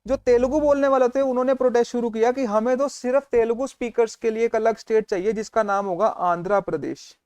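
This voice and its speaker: High-voiced male teacher, storytelling lecture. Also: fairly even intonation, fairly quick, few pauses, darkish tone, clear, resonant tone, dry recording. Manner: storytelling, mixes Hindi and English, checks in with students. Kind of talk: exam revision lecture.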